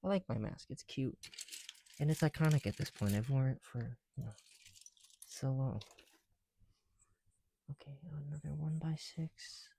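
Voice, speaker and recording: A young man chatting casually in soft, breathy ASMR style, often dropping to a whisper, slightly breathless behind a mask, with a rambling, self-deprecating manner. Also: mid-range voice, measured pace, pauses often, a little hiss or hum. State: somewhat breathless through a heavy mask. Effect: speaking through a mask. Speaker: ASMR video creator. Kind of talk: ASMR, casual chat while building bricks. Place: indoors, at home.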